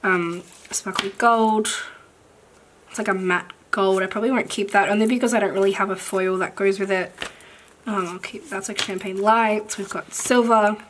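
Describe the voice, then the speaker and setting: A woman with a croaky voice that is still recovering, chatting casually and full of fillers as she sorts stickers at a desk for an overhead camera. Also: mid-range voice, fairly even intonation, moderate pace, slight background noise. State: croaky, recovering from losing her voice. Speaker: planner vlogger. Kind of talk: casual haul chat while sorting stickers. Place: at a desk indoors, filming hands from above.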